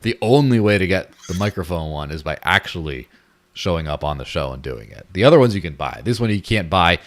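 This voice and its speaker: A man's voice: sits low, expressive, brisk. A man hosting a casual podcast, rambling and joking and laughing at his own jokes. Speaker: podcast host. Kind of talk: casual chat.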